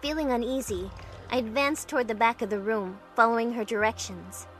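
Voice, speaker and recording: Woman voicing an anxious, high-voiced young heroine. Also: fairly quick, natural voice. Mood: tense, heartfelt. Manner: earnest, dramatic storytelling. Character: young woman, emotional, anxious plane crash survivor. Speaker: narrator and lead character in an amateur fan dub. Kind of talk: scripted first-person dramatic narration. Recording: music playing behind the voice.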